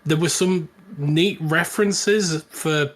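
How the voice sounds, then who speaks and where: A man co-hosting a casual film chat podcast, giving mild opinions in a measured manner. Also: a little high, a bit bright. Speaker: podcast co-host.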